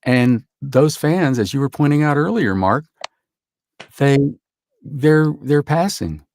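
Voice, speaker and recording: A man's voice: mid-range voice, lively intonation, slow pace, darkish tone, no echo. Earnest man with an emphatic delivery. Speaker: guest actor. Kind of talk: casual podcast chat.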